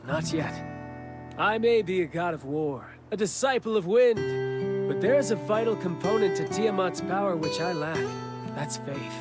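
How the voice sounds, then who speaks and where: A man's voice: high voice, expressive, unhurried. An actor playing a theatrical young male god of war and wind in a video game dub, with grandiose, poetic speech. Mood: earnest, explaining. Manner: grandiose, poetic, formal proclamations. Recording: voice over background music.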